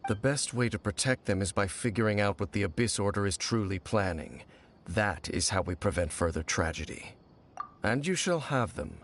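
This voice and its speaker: A grave, brooding ancient warrior. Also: male voice, lively intonation, a bit bright, slight background noise. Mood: calm solemn. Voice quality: low, measured and solemn. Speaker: game character. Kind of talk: video game voice acting. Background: faint game music.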